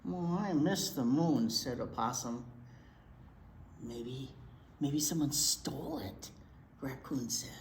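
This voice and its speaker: A woman reading a picture book to young children in an expressive, chatty way, often asking them questions. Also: extremely low pitch, slow pace, pauses often. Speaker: children's library teacher reading aloud. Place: indoor room at a library. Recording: roomy echo, noticeable background noise.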